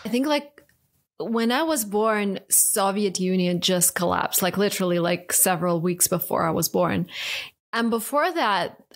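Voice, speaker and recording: A woman in her thirties from Russia, speaking English with a Russian accent as a podcast guest, animated and casual with many fillers, recorded at home on a desk mic. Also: mid-range voice, a little slow. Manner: animated, many likes and restarts. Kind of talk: casual interview. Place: home study with bookshelves, indoors. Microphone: studio mic on desk, earbuds.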